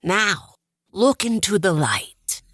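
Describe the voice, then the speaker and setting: Woman as uncanny waitress, audiobook character, slow deliberate pace, very animated pitch, theatrical. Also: heavily acted. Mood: calm, ceremonious. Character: unhurried waitress serving uncanny supernatural meals.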